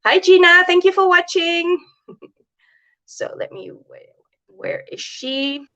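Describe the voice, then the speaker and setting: A woman's voice: very high-pitched, slow, pushed and bright, muffled recording. Chatty woman hosting a live video call. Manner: chatty, hesitant with many fillers. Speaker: host. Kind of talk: casual live video interview. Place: home, early morning.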